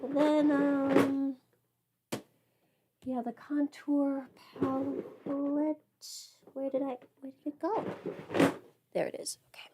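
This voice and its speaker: A woman's voice: high-pitched, flat delivery, slow, pauses often, heavy background noise. A woman thinking aloud in a casual, rambling livestream chat as she gets ready, swearing lightly. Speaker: livestream host.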